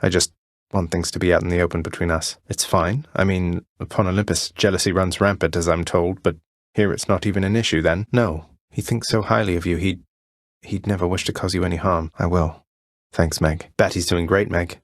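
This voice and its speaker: A young rebel prince of the underworld speaks in a deep British voice. He is courteous but wry, delivering his game dialogue with casual understatement. The sound is dry, with no room around it. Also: steady tone, a little fast, dry recording. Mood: earnest, friendly. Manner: dry, understated, conversational politeness. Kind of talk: video game character dialogue.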